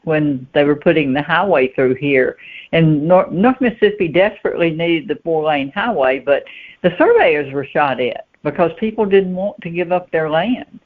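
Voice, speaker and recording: A woman giving plain-spoken, halting answers as a guest novelist in a conversational interview. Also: low-pitched, lively intonation. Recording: muffled, thin phone-line sound.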